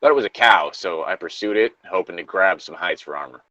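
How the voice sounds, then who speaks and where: A man's voice: low-pitched, animated, brisk, sharp tone, dry recording, lo-fi sound. A man co-hosting a story-reading show, switching between informal, sweary banter and reading horror stories aloud. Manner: informal, profane.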